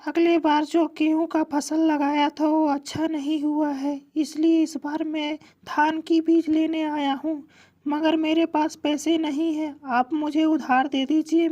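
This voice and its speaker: A woman plays a poor young farmer in a moral-story cartoon. The voice is high and quite monotone, respectful and humble, with an earnest, plain delivery. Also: unhurried. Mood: sad, pleading.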